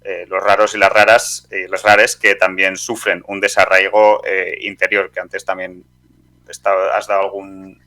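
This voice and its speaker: A man with an accent from Spain, hosting an interview with long, prepared questions delivered in halting phrases. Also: low voice, level tone, sharp tone. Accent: Spanish from Spain. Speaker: podcast host, interviewer.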